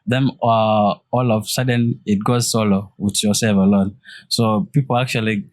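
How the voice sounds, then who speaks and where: A man's voice: fairly low pitch, fairly even intonation, moderate pace, no room sound. Man hosting, dry sound. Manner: informal, interjects agreement often. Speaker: podcast host. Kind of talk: casual interview chat.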